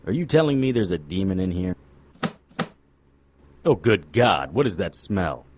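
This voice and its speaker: A man's voice: low voice, sing-song, unhurried, a little mellow, a little hiss or hum. A man playing a jaded occult investigator in a noir audio drama, with a dry, wry, world-weary delivery. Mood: wry narrating. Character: jaded, sardonic male hard-boiled occult investigator. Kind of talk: noir audio drama acting with first-person narration.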